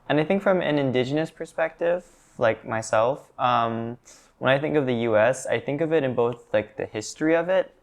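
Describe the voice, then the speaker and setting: A man's voice: medium pitch, moderate pace. A young man, a student, answering discussion questions in a thoughtful, hedging way. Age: young adult. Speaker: student panelist. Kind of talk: class discussion.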